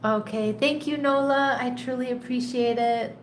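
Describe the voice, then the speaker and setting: A congested, slightly nasal woman hosts a live tarot stream in an unhurried, chatty way, laughing lightly as she talks to her viewers. Her voice sits a bit high. The sound carries a roomy echo with a faint hiss underneath. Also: fairly even intonation. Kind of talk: casual live-stream chat. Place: home in a rainy tropical place. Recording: echoey room, faint background noise.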